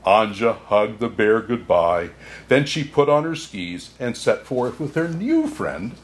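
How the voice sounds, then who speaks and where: Kindly old Santa played by a man for storybook reading; slow pace, very animated pitch. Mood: gentle storytelling. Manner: unhurried, chuckling asides, expressive storytelling.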